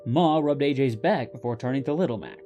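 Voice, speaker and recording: A man's voice: medium pitch, a little fast, dark tone, dull audio, soft background music. A man narrating a scripted story as the host of a fiction reading.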